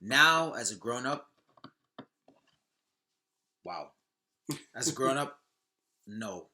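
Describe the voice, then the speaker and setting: A man's voice: medium pitch, expressive, extremely slow, bright, forceful tone. A man chatting casually as a podcast co-host, informal and sweary, often repeating himself. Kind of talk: casual chat about movies.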